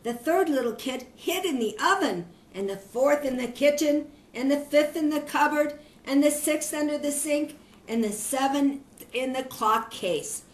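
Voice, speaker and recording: An older woman, a grandmother, reading a children's story aloud in an expressive storytelling manner. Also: sits high, unhurried. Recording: echoey room.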